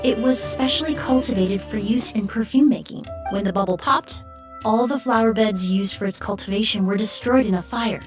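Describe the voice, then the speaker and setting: A woman playing a courteous, serene young perfumer in an anime game, speaking in a measured, refined and polite manner. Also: medium pitch, far from the mic, soft background music, lightly acted. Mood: measured explaining. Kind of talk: anime game story dialogue.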